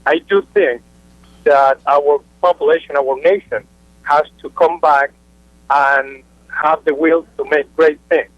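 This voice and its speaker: A man with a Venezuelan Spanish accent calling in to a radio show, earnest and halting. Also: a little high, fairly even intonation, no echo. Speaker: caller. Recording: muffled dry phone line.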